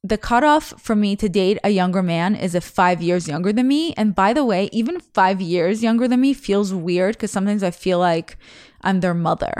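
A woman's voice: mid-range voice, expressive, moderate pace, a little mellow, dry recording, clean studio sound. A young woman whose first language is Russian, a podcast host talking candidly and chattily about herself, rambling with restarts. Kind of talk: casual confessional monologue.

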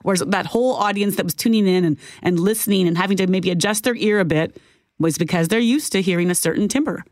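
Quick, earnest woman on talk radio. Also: mid-range voice, a little mellow, no echo, studio quality. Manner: earnest, rambling with restarts. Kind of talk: casual radio chat.

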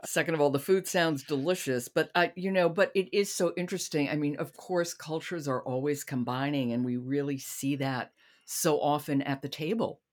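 A woman's voice: sits low, moderate pace, hardly any pauses, darkish tone. A woman hosting a podcast, chatting casually about books with her guest. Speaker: podcast host. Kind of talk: casual book chat.

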